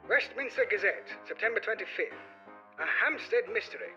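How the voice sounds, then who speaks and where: A man's voice: high voice, animated, a little fast, pushed and bright, highs cut off, heavily acted. A man playing a formal old-time newspaper reporter in a radio drama, declamatory, over music. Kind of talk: radio drama news reading.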